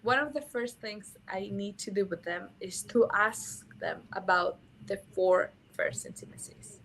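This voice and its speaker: A woman's voice: mid-range voice, measured pace, fairly bright tone, a little hiss or hum, low-quality recording. A young woman from Mexico with a Mexican Spanish accent, a native Spanish speaker, talking earnestly about intimacy as a guest, often restarting her phrases. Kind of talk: casual conversational interview.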